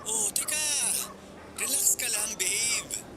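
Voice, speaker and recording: An actor playing a cocky, menacing young ex-boyfriend who sweet-talks and taunts in a radio drama. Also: male voice, very high voice, very animated, slow, background noise, exaggerated voice. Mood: smug. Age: young adult. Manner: smug, wheedling. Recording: background music under the voice.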